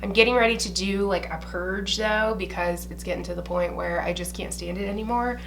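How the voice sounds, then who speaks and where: A woman's voice: lowish voice, fairly even intonation, a little fast, vocal fry. A young woman from Ohio talks straight to the camera in a small room. She is chatty and blunt, and she swears without a second thought. A faint hum sits under the recording. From Ohio, USA. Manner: chatty, blunt, swears casually, drawls "girl" and "y'all". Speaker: beauty vlogger. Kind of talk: casual chat to camera. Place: small indoor room with shelves behind.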